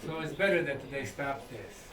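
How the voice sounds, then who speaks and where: A man giving a conference lecture in short, deliberate phrases with dramatic pauses and rhetorical questions. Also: medium pitch, expressive, crowd noise. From Bosnia. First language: Bosnian. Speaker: lecturer and researcher. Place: indoor lecture room at a conference. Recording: echoey room, distant mic, faint noise.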